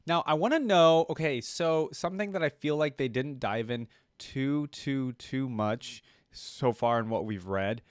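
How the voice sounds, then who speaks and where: A man's voice: mid-range voice, measured pace, hardly any pauses, clean tone, no echo, studio quality. A man hosting a casual reaction video, chatty and musing as he thinks aloud.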